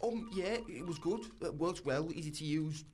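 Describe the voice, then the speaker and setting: A deadpan customer gives an advert testimonial, acted by a man over a music bed. He sounds flat and halting, thoroughly unimpressed by what he endorses. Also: a little high, close to an everyday voice. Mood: flat, underwhelmed.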